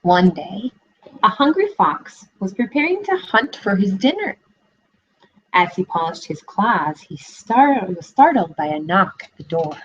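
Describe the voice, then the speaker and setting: A young woman teacher giving a read-aloud lesson for children, asking questions in an expressive way, recorded on a laptop webcam in a living room. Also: mid-range voice, a little slow, husky. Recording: dull lo-fi webcam audio.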